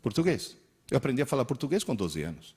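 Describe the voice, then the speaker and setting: Husky, animated man in his sixties. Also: mid-range voice, fast. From Italy. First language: Italian. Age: 60s. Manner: animated, storytelling, quizzes the audience. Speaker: teacher giving a lecture. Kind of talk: interactive lecture to teachers. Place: lecture hall with audience.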